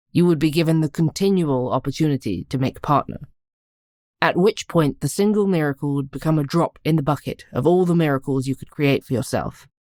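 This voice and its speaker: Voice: medium pitch, darkish tone, no echo, clean studio sound. A narrator reading literary fiction aloud in an audiobook style, addressing the listener directly. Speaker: narrator of a short story podcast.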